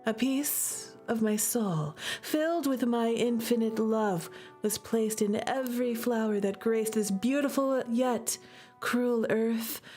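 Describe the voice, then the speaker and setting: A woman voicing a devoted, lovestruck female lover in a solemn, drawn-out, dramatic poetic reading. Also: on the high side, a little mellow. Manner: solemn, drawn-out, deliberate phrasing.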